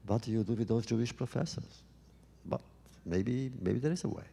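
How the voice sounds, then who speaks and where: A man answering audience questions at a public talk, speaking thoughtfully and haltingly with many restarts. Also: mid-range voice, lively intonation, gravelly, dark tone, breathy edge, big-room sound.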